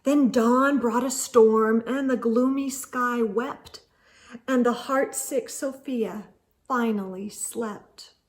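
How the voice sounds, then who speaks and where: A woman storytime host reading a picture book aloud to children, expressive and emphatic, speaking directly to young listeners. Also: a little high, slow pace.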